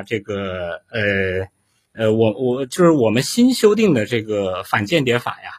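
A man's voice: medium pitch, breathy edge. A man hosting a casual livestream interview, speaking in a hesitant, repetitive way. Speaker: livestream host.

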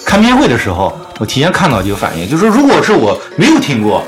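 A man talks quickly with a lively, swinging pitch, explaining his views as host of a casual music discussion. He is opinionated and conversational, with music playing behind him. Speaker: podcast host.

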